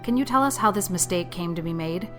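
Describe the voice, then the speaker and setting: A woman voicing a stern older farm woman in an audiobook, curt, brisk and unsentimental. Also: fairly low pitch, expressive, over music, lightly acted. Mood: brisk, demanding. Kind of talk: audiobook character acting.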